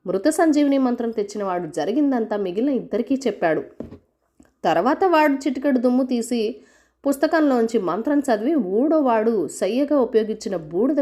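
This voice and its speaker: A woman narrates a folk tale for children in a fairly high voice. Her intonation moves up and down in an animated way as she tells the scripted story.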